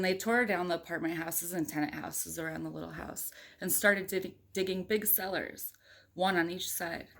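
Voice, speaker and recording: A woman's voice: lowish voice, creaky, fairly bright tone, a little hiss or hum. Woman reading a storybook aloud to kids. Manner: conversational, engaging, asks the listeners questions. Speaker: storyteller reading a picture book aloud to young children. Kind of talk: read-aloud storytelling with chatty asides to children.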